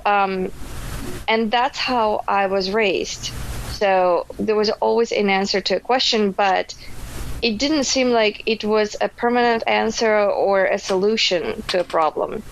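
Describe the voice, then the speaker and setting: A Russian-born woman answering interview questions casually, pausing often with ums and restarts. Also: medium pitch, a little hiss or hum, poor audio. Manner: hesitant, many ums and restarts. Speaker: podcast guest.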